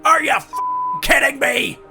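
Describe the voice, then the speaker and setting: A man voicing the ghost of a brash, crude young soldier, shouty and melodramatic, in a visual novel reading. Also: extremely high pitch, wildly swooping pitch, slow, gravelly, faint music behind, full character voice. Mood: outraged, panicked.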